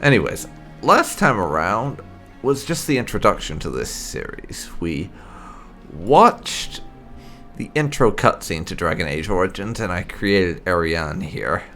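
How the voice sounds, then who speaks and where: A man's voice: sits low, big pitch swings, measured pace, fairly bright tone, soft background music. A man giving casual, rambling let's play commentary as a gaming YouTuber.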